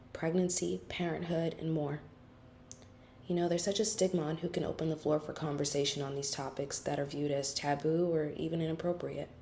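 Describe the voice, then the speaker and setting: A woman hosting a podcast, reading a calm, measured intro and then interviewing her guest. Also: low-pitched, a little hiss or hum. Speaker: podcast host.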